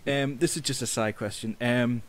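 A man's voice: medium pitch, steady tone, normal speed, a little hiss or hum. A man hosting a casual interview podcast, hesitant and often repeating words as he asks questions. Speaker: podcast host.

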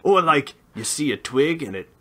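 A man's voice: a little high, expressive, normal speed, bright, forceful tone. A man voicing a whimsical, lovelorn goblin bard who rambles colloquially in a sing-song way. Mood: spooked, earnest.

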